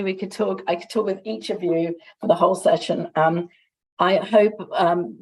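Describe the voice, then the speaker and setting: A woman moderating an online panel, introducing speakers with quick transitions and fillers. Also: lowish voice, darkish tone, rough recording. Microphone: video call.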